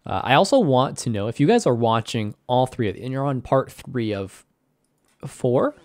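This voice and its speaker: A young man with a slightly hoarse voice talks over his gameplay in a loose, rambling way. He is often self-deprecating and laughs easily, and his pitch sits in an ordinary middle range. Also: expressive, moderate pace, a little mellow, dry recording. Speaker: gaming commentator. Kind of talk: casual game commentary.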